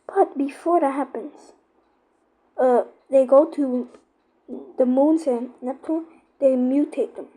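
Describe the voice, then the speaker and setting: A female speaker giving a casual, informal explanation, often halting and searching for words. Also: sits high, mellow. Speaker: YouTuber explaining horror lore.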